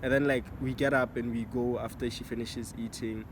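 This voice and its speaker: A laid-back young man from recounts how he met his girlfriend. He talks in a relaxed drawl and goes quieter when quoting himself. Clear outdoor noise runs behind him. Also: medium pitch, brisk. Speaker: boyfriend, guest. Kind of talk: casual storytelling chat. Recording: noisy outdoor setting.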